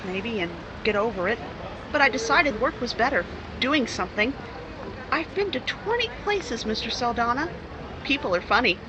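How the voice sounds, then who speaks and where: A woman's voice: on the high side, close to an everyday voice. A woman playing a downcast, wronged young woman in a 1950s-style radio drama. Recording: noisy, city traffic behind.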